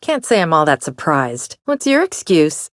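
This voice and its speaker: A woman's voice: deep voice, sing-song, moderate pace, dry recording, clean studio sound. An actress voicing a sardonic, flippant young woman who wants to be an outlaw in a video game, with a theatrical, wry delivery. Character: sardonic, serial-obsessed young woman, would-be frontier outlaw. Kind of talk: video game character dialogue.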